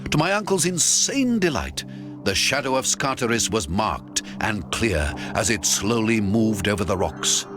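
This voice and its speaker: A man's voice: lowish voice, sing-song, unhurried. A man narrating a story in the first person, in a dramatic, theatrical storytelling style. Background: music.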